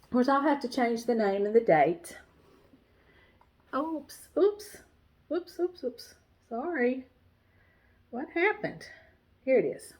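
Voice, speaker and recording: A woman's voice: high-pitched, animated, slow pace, long pauses. Congested woman chatting. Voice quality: nasal. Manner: rambling, warm, many fillers and restarts. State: stuffy, congested nose. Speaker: cross-stitch vlogger. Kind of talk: casual show-and-tell chat.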